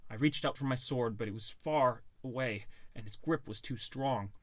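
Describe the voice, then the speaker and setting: A man's voice: mid-range voice, moderate pace, dry recording. A man reading a scripted first-person fantasy story as an audiobook narrator.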